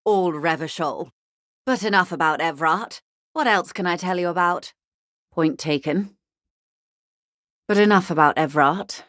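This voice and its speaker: Genteel female company negotiator, video game dialogue, lowish pitch, dry close sound, articulate, measured, wry. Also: a bit bright, no echo. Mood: wry amusement. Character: poised, wry, well-bred corporate negotiator woman.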